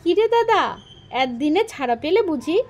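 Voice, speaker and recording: A woman's voice: very high voice, sing-song, moderate pace, darkish tone, muffled recording. A woman storyteller playing a simple, good-natured village farmer man who talks in a plain, unworried, folksy way. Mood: friendly.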